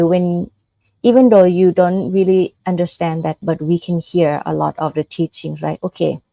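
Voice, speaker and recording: A woman's voice: sits low, gravelly, warm tone, no room sound, poor audio. A woman from Thailand with a Thai accent giving an informal dharma talk as a Buddhist nun, explaining step by step in a conversational way with check-in questions.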